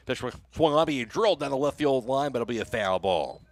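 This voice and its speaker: A man's voice: mid-range voice, expressive, fairly quick. An American man calling a baseball game live as a radio play-by-play announcer, in clipped phrases that follow the action.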